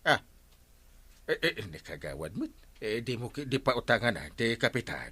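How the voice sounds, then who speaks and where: An excitable village captain in an Ilocano radio comedy. The Filipino voice swoops widely, flattering and wheedling like someone forever short of money. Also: male voice, mid-range voice, normal speed, husky, no echo, full character voice. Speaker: radio drama actor. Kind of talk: broad radio comedy acting.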